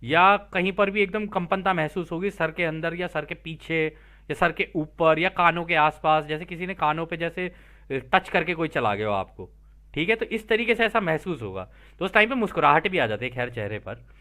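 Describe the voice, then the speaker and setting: A man's voice: fairly high pitch, lively intonation, moderate pace, sharp tone. A man teaching spiritual material, reading aloud and explaining it informally, checking in with listeners through rhetorical questions.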